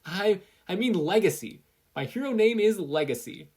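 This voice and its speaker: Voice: medium pitch, expressive, moderate pace, darkish tone, slightly rough, pitched above the performer's own voice. A narrator with an American accent voicing a kind, anxious, sincere teenage boy hero in a story reading. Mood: flustered. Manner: sincere, flustered.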